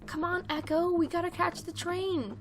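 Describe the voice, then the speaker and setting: Woman voicing an anxious, high-pitched little girl pony. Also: moderate pace, music in the background. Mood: falsely cheerful. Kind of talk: animated cartoon drama acting.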